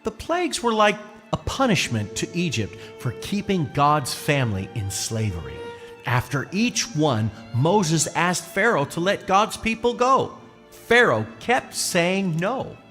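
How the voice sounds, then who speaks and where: A man playing a patient, gentle but firm Israelite father in a children's puppet show, explaining things deliberately like a bedtime storyteller. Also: a little high, sing-song. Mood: storytelling. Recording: long hall reverb, music underneath.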